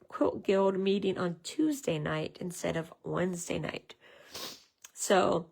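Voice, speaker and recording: Woman with a raspy, gravelly, cold-roughened voice. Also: mid-range voice, darkish tone, poor audio. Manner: chatty, greets viewers, many fillers. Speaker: craft demonstrator. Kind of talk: casual live craft tutorial.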